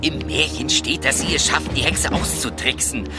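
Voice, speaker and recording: An actor playing a clever, urgent male raven with a brisk, exasperated manner in a children's radio play. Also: medium pitch, natural voice. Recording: music behind.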